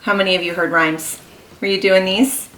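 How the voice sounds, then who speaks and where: A woman kindergarten teacher reading a story aloud to young children, expressive and encouraging, pausing to ask them questions. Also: mid-range voice, recorded from a distance, slight background noise. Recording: echoey room.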